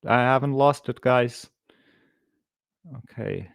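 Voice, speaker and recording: Slow-talking man, casual and rambling. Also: medium pitch, gravelly, no room sound, clean studio sound. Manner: rambling, sweary, easily distracted. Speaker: host of a live stream show. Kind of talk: casual unscripted live stream commentary.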